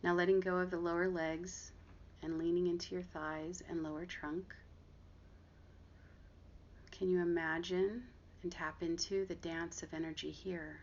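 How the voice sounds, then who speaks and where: Low, creaky-voiced woman guiding meditation. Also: level tone. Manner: gentle, unhurried instruction with long pauses. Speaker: meditation guide and therapist. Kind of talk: guided body scan meditation. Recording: dull lo-fi audio with clear background noise.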